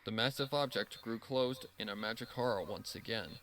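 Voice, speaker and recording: A man's voice: on the low side, moderate pace, fairly bright tone, dry recording, low-quality recording. A man reading a scripted story as narrator, with a solemn, dramatic delivery. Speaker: narrator reading fan fiction.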